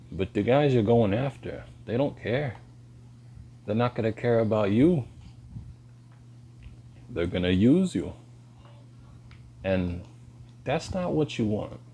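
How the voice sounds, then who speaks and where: A man, lecturing bluntly in a halting monologue to camera. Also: warm tone, a little hiss or hum. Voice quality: deep voice. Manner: slow and halting, pauses and restarts. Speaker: vlogger.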